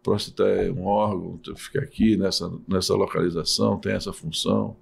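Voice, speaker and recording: A middle-aged man with a low, gravelly voice. He explains things patiently like a teacher and often restarts his phrases as he talks through them in an interview. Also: level tone, brisk. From Salvador, Bahia, Brazil. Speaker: urologist and professor.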